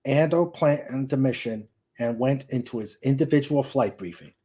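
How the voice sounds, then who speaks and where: Male reader for dramatic novel narration, soft-edged mellow tone, mid pitch, careful delivery. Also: moderate pace. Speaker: host reading a novel aloud. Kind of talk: dramatic audiobook-style narration.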